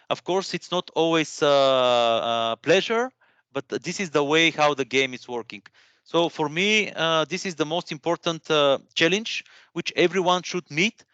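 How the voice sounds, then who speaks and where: A man's voice: fairly high pitch, fairly bright tone, clean tone, no echo, dull audio. A man in his thirties who speaks English as a second language, presenting informally and encouragingly, often searching for words and repeating himself. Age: 30s. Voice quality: non-native English speaker. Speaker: guest presenter at a career webinar.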